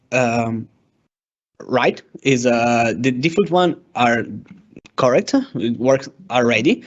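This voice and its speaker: A man narrating a step-by-step software demo as a tutorial presenter, with a hesitant, halting delivery. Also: mid-range voice. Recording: dull, lo-fi microphone sound.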